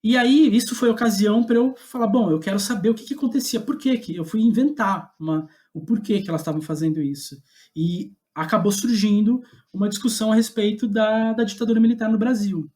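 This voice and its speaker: Thoughtful, halting Brazilian man in conversation. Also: high voice, darkish tone. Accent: Brazilian Portuguese. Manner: halting, thoughtful, searching for words. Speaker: guest playwright. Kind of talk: reflective podcast interview talk.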